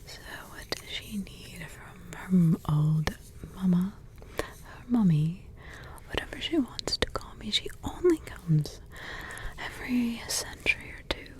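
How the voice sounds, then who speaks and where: A woman voicing a centuries-old witch who is a vampire's teasing mother, in slow, intimate whispers with soft chuckles. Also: on the low side, expressive, low-quality recording. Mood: teasing, gossipy. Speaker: ASMR roleplay host. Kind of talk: whispered ASMR roleplay.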